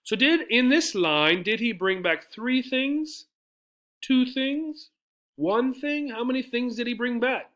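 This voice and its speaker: A man's voice: very high voice, animated, slow pace, fairly bright tone. A man teaching English, explaining step by step in a didactic way with frequent questions and check-in tags. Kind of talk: explanatory English lesson with poem reading.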